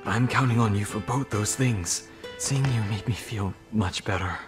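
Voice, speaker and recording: A woman voicing a gentle, awkward young male wolf in a cartoon style. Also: very deep voice, normal speed. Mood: grateful. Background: loud music.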